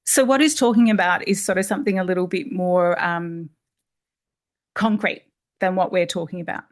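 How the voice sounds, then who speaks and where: A woman's voice: lowish voice, normal speed. Thoughtful Australian woman presenter. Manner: thoughtful, explanatory, with restarts. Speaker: seminar presenter. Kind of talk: answering an audience question. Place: seminar room.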